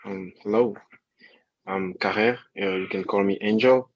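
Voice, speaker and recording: A man's voice: lowish voice, fairly even intonation, unhurried, no echo. A man from Cameroon introducing himself on a video call, enthusiastic and loose, often saying "you know". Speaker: program delegate. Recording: dry, dull video call audio.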